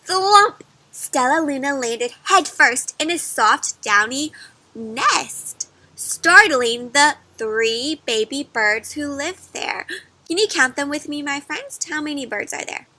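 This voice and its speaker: High, bright woman reading to children. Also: slow, no echo, rough recording. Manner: expressive, asks questions, chatty asides to young listeners. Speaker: read-aloud storyteller for children. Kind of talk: interactive children's picture-book read-aloud with questions.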